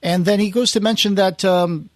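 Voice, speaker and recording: A man's voice: high-pitched, steady tone, moderate pace, dry recording, clean studio sound. A man hosting a podcast, casually recounting film history in a conversational storytelling way and quoting people as he goes. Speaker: podcast host.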